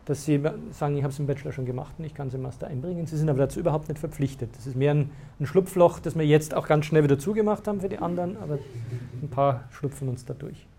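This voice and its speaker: Man lecturing, fairly high pitch, hesitant with fillers, explaining in a lecture room. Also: quick pace, creaky, warm tone, a little hiss or hum. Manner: hesitant, many fillers and restarts, laughs at times. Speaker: university lecturer answering student questions.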